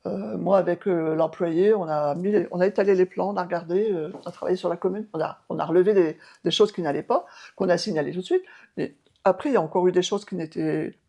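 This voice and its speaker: A woman's voice: on the low side, quick pace, dark tone. A woman in her seventies, an outgoing mayor, answering interview questions emphatically with many hesitations and restarts. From France. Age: 70s. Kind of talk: local TV interview.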